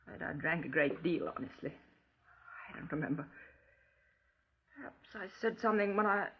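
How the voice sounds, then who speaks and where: An actress playing an overwrought, imperious widow who confesses to murder, in old radio crime drama style, husky and theatrical, shifting between vague muttering and sharp commands. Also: female voice, on the low side, expressive, normal speed. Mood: vague, shaken. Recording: dull 1950s radio recording.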